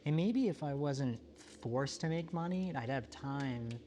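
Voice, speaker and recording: A man playing a solitary, introspective apocalypse survivor in a found-footage monologue, talking in a halting, self-questioning, confessional way. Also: medium pitch, dark tone, slight background noise, close to an everyday voice. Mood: weary, reflective. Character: solitary, world-weary, introspective male survivor of a quiet apocalypse.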